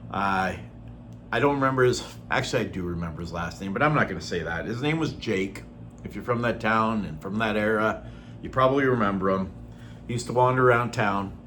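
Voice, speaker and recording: A middle-aged man from Norway, born Norwegian-speaking, talking in an earnest, unhurried way with frequent ums and throat clearing in a personal vlog. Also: on the low side, fairly bright tone, a little hiss or hum. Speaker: vlogger. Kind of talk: casual personal vlog talk.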